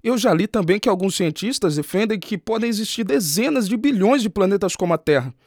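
A man's voice: high voice, animated, a little fast, a little mellow, no echo, studio quality. A man with a Brazilian accent playing a curious, agreeable schoolteacher who asks polite questions in a scripted radio drama. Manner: polite, inquisitive.

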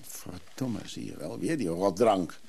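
An actor playing a wry, scheming antiques hustler in a hissy radio play recording, courteous but hesitant with others. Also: male voice, low-pitched, lively intonation, a little slow, warm tone. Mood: grumbling, wry. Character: scheming antiques hustler in his mechanical-music trade, wry, smooth. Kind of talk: Dutch radio play acting.

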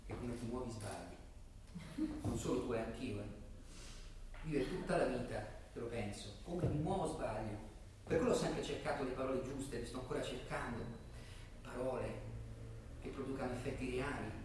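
A man playing a violent, self-pitying armchair terrorist in a dramatic stage monologue, swinging between brooding murmurs and shouted outbursts. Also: medium pitch, moderate pace, pauses often, voice pushed down, lightly acted. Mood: brooding, low. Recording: very echoey room, distant mic, faint noise.

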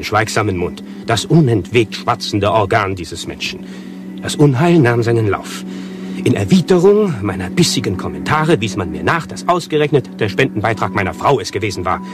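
An actor playing a pompous, self-important avant-garde playwright in a satirical radio play, speaking in long-winded, declamatory sentences with precise diction. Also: male voice, fairly low pitch, close to an everyday voice. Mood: aggrieved, explanatory.

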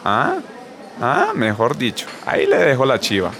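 A man's voice: mid-range voice, wildly swooping pitch, moderate pace, music in the background. A man playing a playful, lovestruck young guy with a Colombian accent in a radio soap. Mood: playful, hinting. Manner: colloquial, playful.

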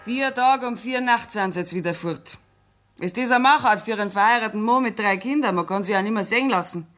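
A woman's voice: medium pitch, brisk, a bit bright, lightly acted. A woman with a Bavarian accent playing a farmer's wife who complains about her absent husband in a 1960s radio play. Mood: complaining.

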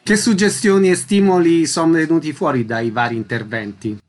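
Guy radio host, voice a bit high, short tidy questions and intros. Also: normal speed. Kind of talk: scripted radio interviewing and presenting.

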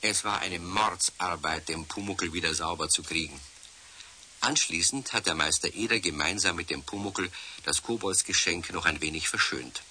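A male actor as a calm storyteller narrating a children's radio play. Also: deep voice, moderate pace. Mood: calm, amused. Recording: faintly hissy old radio recording.

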